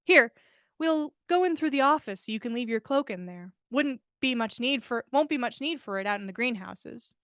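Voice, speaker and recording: Woman doing a shy kindly young guy in a story, hesitant, earnest, higher pitched, dry sound. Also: expressive, moderate pace, fairly bright tone, no room sound. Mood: modest. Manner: hesitant, modest. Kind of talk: storybook character reading.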